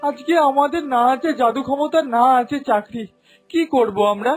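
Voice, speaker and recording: A man's voice: extremely high pitch, moderate pace, soft background music. A man voicing an earnest, anxious husband in a cartoon fairy tale, pleading and moralizing with emphasis. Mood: reproachful.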